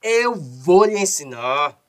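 A very slow, badly stuttering son in a radio farce. He blusters and threatens, tripping over syllables again and again. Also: on the high side, big pitch swings. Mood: indignant, blustering. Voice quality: heavy stutter. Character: stuttering, indignant henpecked son, a blustering brother-in-law. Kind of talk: radio soap opera comedy acting.

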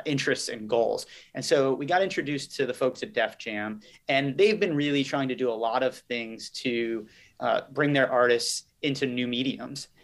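A man giving conversational interview answers as a business guest, explaining and recounting in an articulate way. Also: medium pitch. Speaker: podcast guest, company chief executive.

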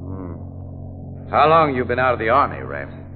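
A man's voice: mid-range voice, sing-song, a little slow, faint music behind. An actor playing a breezy, clipped and informal newspaperman in a 1940s radio crime drama. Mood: casual, probing. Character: brisk, casual male newspaperman and crime photographer. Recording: muffled old radio recording, slight hum.

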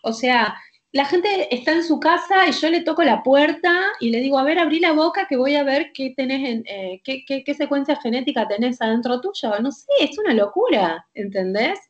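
A woman's voice: high voice, expressive, normal speed. A woman with a Rioplatense Spanish accent, a doctor explaining at length in an insistent, emphatic way and often checking that the listener follows. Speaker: doctor guest. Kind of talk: heated conversational explanation. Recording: lo-fi dull audio.

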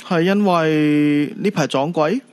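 Man playing a high-voiced cheeky young boy, Hong Kong Cantonese. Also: slow pace, clean tone. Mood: probing. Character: cheeky, upbeat young son who sees ghosts. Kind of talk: radio drama acting.

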